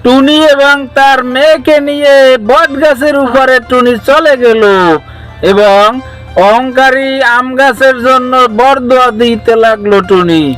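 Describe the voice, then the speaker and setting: A man's voice: very high voice, fairly even intonation, measured pace, a bit bright, clear, resonant tone, faint music behind, poor audio. A man narrating a scripted children's fable as a storyteller.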